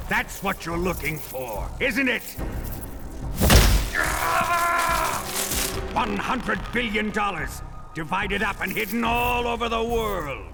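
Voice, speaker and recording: An actor playing a sadistic, menacing middle-aged Soviet interrogator in a video game, with a theatrical, taunting manner and a gravelly voice. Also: male voice, high voice. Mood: enraged. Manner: theatrical, taunting, swinging from hushed purr to bellowing. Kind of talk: dramatic video game acting.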